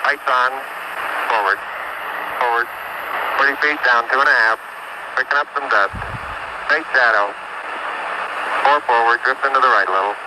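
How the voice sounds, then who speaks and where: A man's voice: mid-range voice, moderate pace, pauses often. A man making terse, clipped callouts as a pilot during a landing. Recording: static-heavy archive radio transmission.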